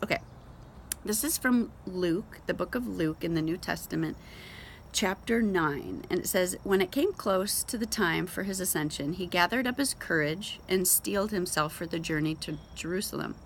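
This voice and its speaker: A woman with a slightly low voice speaks in a conversational, devotional style. Her delivery is informal and emphatic, as if chatting directly to listeners. The recording carries noticeable background noise. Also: animated, normal speed, rough recording. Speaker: host of a Bible reading show. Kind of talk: casual devotional talk with scripture read aloud.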